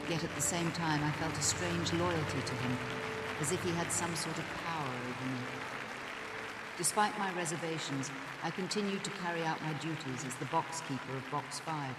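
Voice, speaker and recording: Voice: mid-range voice, over a noisy crowd, close to an everyday voice. An actor playing a wary opera box keeper. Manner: earnest, measured narration in first person. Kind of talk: audio drama monologue. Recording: echoey hall reverb with faint music.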